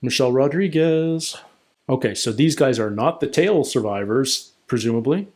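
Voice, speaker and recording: A man's voice: mid-range voice, normal speed. A man hosting a reaction video, casually thinking aloud with short asides and questions as he comments on a TV episode. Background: TV show soundtrack.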